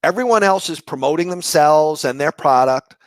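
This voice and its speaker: A man with a slightly raspy, hoarse voice presenting a live online marketing session, conversational and explanatory with rhetorical questions. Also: on the high side, normal speed, no room sound. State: hoarse from shouting the day before.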